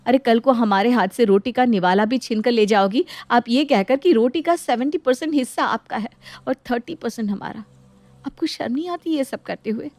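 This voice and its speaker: A woman's voice: a little high, moderate pace, darkish tone, no room sound, close to an everyday voice. A woman voicing an indignant daughter-in-law in a moral story, sarcastic and reproachful. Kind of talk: dramatic moral-story acting.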